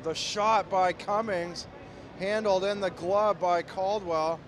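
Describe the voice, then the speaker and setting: A man with a cold, hoarse and losing his voice, calling ice hockey play-by-play in a steady, measured way from an arena. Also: high-pitched, a little hiss or hum. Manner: steady, measured call that climbs to shouting on goals. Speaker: play-by-play commentator.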